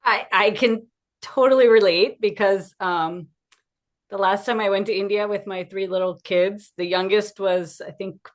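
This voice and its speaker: A woman's voice: mid-range voice, fairly even intonation, measured pace. A middle-aged woman speaking as a podcast host, introducing the show and interviewing a guest. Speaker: podcast host, wellness coach.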